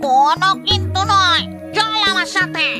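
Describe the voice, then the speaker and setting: Bossy mosquito witch, very high voice, greedy, whiny scolding, cartoon style, loud music behind. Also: unhurried. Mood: bossy, impatient. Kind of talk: children's cartoon voice acting.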